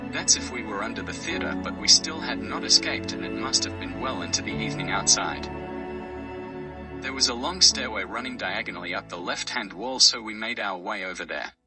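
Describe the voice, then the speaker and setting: A man's voice: on the low side, recorded from a distance. A man reading a scripted first-person adventure story as its narrator. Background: music.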